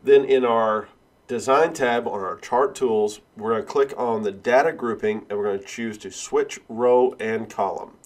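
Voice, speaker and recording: A male instructor with a cold and sniffles, talking step by step through a software tutorial with frequent "uh" and "all right.". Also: fairly low pitch. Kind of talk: screen-recorded software tutorial.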